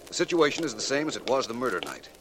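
A man's voice: mid-range voice, very animated, racing, close to an everyday voice. A man playing a suave, self-assured amateur detective with precise, polished speech in a 1940s radio drama. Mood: assured, instructive. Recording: noisy 1940s radio broadcast recording.

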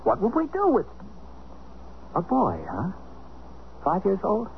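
An actor playing a bewildered, wry but soft-hearted American husband in a 1970s radio drama. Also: male voice, high-pitched, huge pitch swings, slow pace, vocal fry, full character voice. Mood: agitated. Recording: muffled, hissy 1970s radio drama recording.

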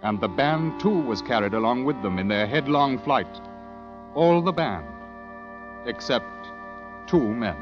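An actor as the grave storyteller of a war adventure, narrating in a dramatic old radio style. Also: male voice, medium pitch, expressive, a little slow, pauses often, close to an everyday voice. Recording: dull vintage radio recording, music behind. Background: dramatic music.

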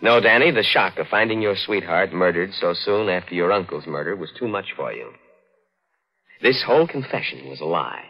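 Casting: fatherly, self-assured cop in old radio drama, very low husky voice, muffled recording. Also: animated, moderate pace. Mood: paternal, dismissive. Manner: avuncular, assured. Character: kindly paternal policeman, disbelieving and reassuring. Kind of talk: 1940s radio acting.

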